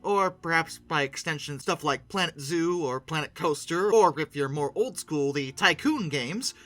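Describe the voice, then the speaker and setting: A man's voice: fairly high pitch, animated, moderate pace, fairly bright tone. A man hosting a conversational video essay with playful, theatrical emphasis. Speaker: video host, game reviewer.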